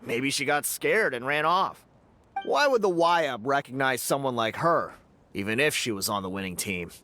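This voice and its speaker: A man's voice: medium pitch, expressive, moderate pace, bright, forceful tone, a little hiss or hum. A man voicing a sneering, jealous young man in a game, mocking and dismissive. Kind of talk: game voice acting.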